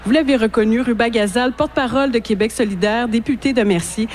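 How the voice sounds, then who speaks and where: A woman with a Quebec French accent hosting an interview, giving a clear introduction and asking attentive questions. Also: on the high side, quick pace, a little mellow. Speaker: interviewer host. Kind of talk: radio interview. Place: book fair.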